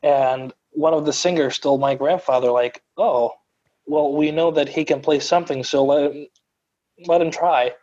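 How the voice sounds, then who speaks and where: A man's voice: on the high side, fairly even intonation, moderate pace, a little mellow, lo-fi sound. A man in his twenties from Croatia, a native Croatian speaker, telling his story earnestly as a guest in a podcast interview. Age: 20s. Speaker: guest organist.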